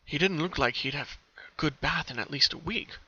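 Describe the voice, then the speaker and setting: A man's voice: a little high, moderate pace, sharp tone, breathy edge. A man reading a story aloud as a dramatic, scripted narration, on a slightly lo-fi home recording.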